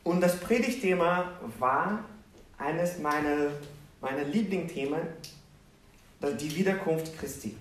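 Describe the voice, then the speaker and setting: Animated preacher in an echoey hall. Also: male voice, a little high, slight background noise. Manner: animated, self-deprecating, asks the congregation questions. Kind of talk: sermon with personal storytelling. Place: church hall with congregation. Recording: roomy, distant church recording.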